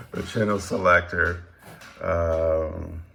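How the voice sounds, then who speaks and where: A man giving a casual, unscripted show-and-tell as a hobbyist, hesitant and halting as he thinks aloud. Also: low-pitched, gravelly, bright, forceful tone, a little hiss or hum. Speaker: radio hobbyist presenting a project.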